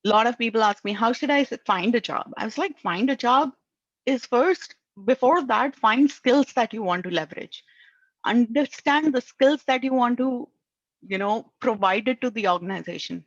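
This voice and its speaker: A woman's voice: a little high, lively intonation, normal speed, dry recording. A woman with a thick Indian accent coaches job seekers, speaking English as her second language. She keeps checking in with "right?" as she goes. The sound is dry and somewhat muffled. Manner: earnest, tags lines with "right?". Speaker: career coach. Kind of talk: advice talk.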